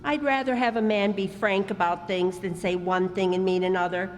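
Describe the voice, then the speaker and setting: A woman's voice: mid-range voice, fairly even intonation, normal speed, natural voice. A woman playing a cheerful, patient sister. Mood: upbeat. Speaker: amateur radio actor. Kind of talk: old-time radio comedy acting. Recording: live stage hall reverb.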